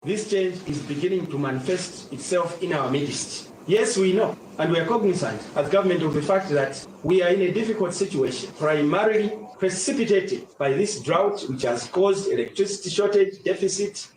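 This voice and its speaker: A man's voice: sits high, animated, moderate pace, hardly any pauses, darkish tone. A man giving a formal, emphatic public address as a government official. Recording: echoey, distant mic, faint background noise.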